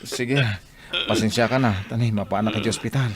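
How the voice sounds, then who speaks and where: A man playing a worried, hurried father in a radio drama, urgent and apologetic. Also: on the low side, steady tone, noisy background, natural voice. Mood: anxious. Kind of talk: Ilocano radio drama acting.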